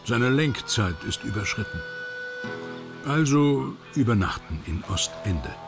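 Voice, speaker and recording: A man reading scripted documentary narration in a lively voice over music. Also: mid-range voice, unhurried, gravelly.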